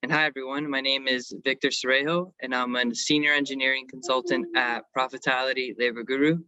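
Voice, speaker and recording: A man's voice: mid-range voice, little pitch movement, brisk, bright, forceful tone, smooth, dry recording. A man giving a semi-scripted technical presentation as an engineering consultant, on a slightly dull recording.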